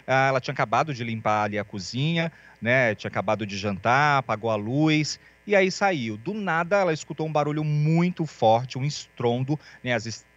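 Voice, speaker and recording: A man's voice: medium pitch, lively intonation, moderate pace, few pauses, a bit bright, no room sound, muffled recording. A young man reporting live for TV news, chatty and informal, with lots of fillers and small jokes. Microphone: handheld mic.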